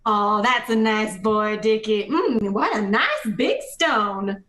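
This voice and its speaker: A woman's voice: medium pitch, animated, takes it slowly, a bit bright, echoey room, pitched below the performer's own voice. A woman voicing a folksy village woman in a radio play, sweetly coaxing small children along. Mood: sweetly approving. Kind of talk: amateur radio play acting.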